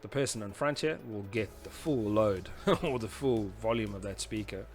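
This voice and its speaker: A man's voice: fairly low pitch, normal speed, faint music behind. A man presenting an informal technical lesson, explaining step by step in a somewhat hesitant, conversational way. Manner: hesitant, explanatory, often saying "you know". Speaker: instructor presenting a tutorial series. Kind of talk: informal explanatory lecture talking through slides and diagrams.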